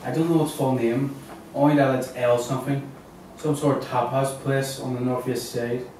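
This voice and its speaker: Anxious client in a detective thriller, male actor: mid-pitched voice, table read, distant mic, heavy room echo. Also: level tone, normal speed, a little mellow, lightly acted. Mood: earnest. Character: Paul Watts, worried well-groomed man seeking missing partner. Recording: very roomy, distant mic, faint noise.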